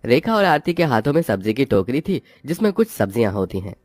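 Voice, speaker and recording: A man's voice: mid-range voice, sing-song, fast talker, dry recording, clean studio sound. A man reading scripted narration for a cartoon moral story. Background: background music.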